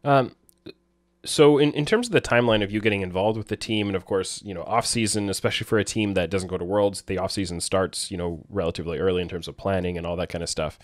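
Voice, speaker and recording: A man's voice: sits low, fast, no room sound, clean studio sound. A man hosting an interview, asking loose, friendly questions and giving short acknowledgements. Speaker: interviewer, host.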